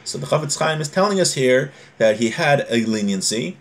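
A man's voice: medium pitch, expressive, moderate pace. A man in his forties teaching an informal religious lesson, reading aloud and explaining, often repeating and rephrasing. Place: small home room, indoors.

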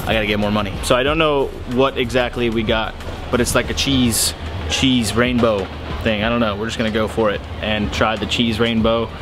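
A man's voice: lowish voice, fairly even intonation, a little fast. A man in his twenties, a travel vlogger chatting casually to a camera held at arm's length on a busy shopping street. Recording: busy street noise with loud music.

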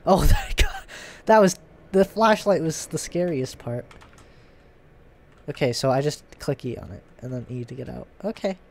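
A young man with a stuffy nose, chatting and reacting out loud to scares as he plays a horror game at home. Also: a little high, huge pitch swings, normal speed, vocal fry. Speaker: gaming YouTuber. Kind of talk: horror game let's play commentary. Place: home gaming room, indoors.

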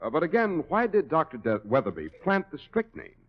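A male announcer chats in scripted 1940s radio style. His intonation is animated, and the old recording sounds thin and tinny. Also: on the high side, fairly quick.

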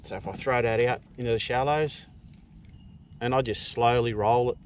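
Middle aged aussie bloke talking through fishing stuff casually, unhurried, out on the water with background noise. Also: male voice, medium pitch, fairly even intonation. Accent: Australian. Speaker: fishing vlogger. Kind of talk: casual tutorial chat while fishing. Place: outdoors in a kayak on open water.

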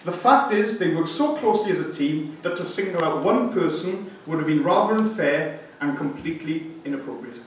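A man's voice: a little high, lively intonation, moderate pace, hardly any pauses. A man in his thirties reading a formal, scripted award presentation speech as a sponsor. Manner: formal, reading from prepared notes. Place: conference room, indoors. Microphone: lectern mic, picked up by distant camera. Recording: echoey room from far off, hissy background noise.

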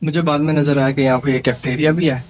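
A man in his thirties, a motorcycle vlogger, chatting in a relaxed way while riding on a highway and mixing English words into his talk. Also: fast. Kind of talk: casual travel narration while riding. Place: on a motorcycle on a desert highway, outdoors. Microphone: helmet or action-camera mic. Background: faint wind and engine noise.

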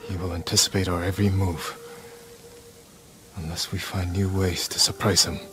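Man playing a deep-voiced, solemn samurai warrior. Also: level tone, over music, close to an everyday voice. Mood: grim resolve. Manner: solemn, measured, resolute. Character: grim, honor-bound young male samurai warrior seeking to save his uncle. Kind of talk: dramatic video game acting.

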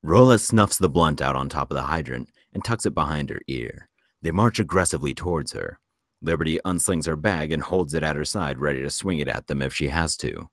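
Very deep male narrator, dry sound. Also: expressive, brisk, no echo. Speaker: screenplay narrator at a table read. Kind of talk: scripted narration of stage directions.

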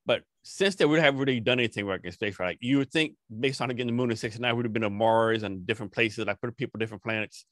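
A man in his forties hosts the interview and talks quickly in a casual way. His voice sits at a middle pitch and sounds dry and close, recorded on a boom mic in a treated studio. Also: a bit bright, no echo. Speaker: podcast host. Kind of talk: interview questions. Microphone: headset and boom mic in a treated studio.

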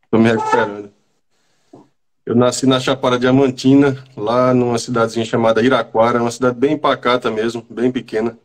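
A man from Bahia, a writer guest, speaking haltingly over a video call while recovering from a cold. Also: medium pitch, level tone. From Bahia, Brazil. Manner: halting, repeats words while searching. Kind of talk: casual video-call interview. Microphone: video call from home.

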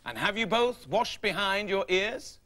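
A man's voice: sits high, expressive, a little slow, background noise. A man playing a friendly, flustered presenter in a children's puppet show, explaining things to viewers. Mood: busy, patient.

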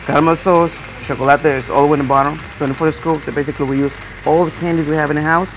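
A man, a chef, loudly and enthusiastically listing what goes into a dessert. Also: on the high side, fairly even intonation, quick pace, slight background noise, poor audio. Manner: loud and enthusiastic, listing items. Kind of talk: describing a dessert. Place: restaurant table indoors.

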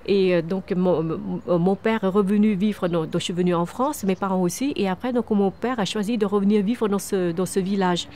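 Earnest woman from Vietnam, mellow voice. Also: mid-range voice, normal speed, few pauses. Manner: earnest, many restarts and repeated words. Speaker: founder of a microcredit charity. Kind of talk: interview answers. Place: outdoors on a wooden terrace among trees.

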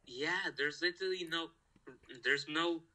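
Casual guy gamer, very bright sharp tone, halting and unsure, restarts a lot. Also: on the high side. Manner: hesitant, halting, many restarts. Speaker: gamer playing with a friend. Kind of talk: casual gaming chat.

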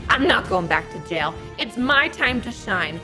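A woman's voice: sing-song, unhurried, over music. A woman voicing an entitled, bossy Karen villain who is whiny and demanding. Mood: gloating. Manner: whiny, shrill, demanding, bursts into squeals and cackles. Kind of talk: Roblox roleplay skit voice acting.

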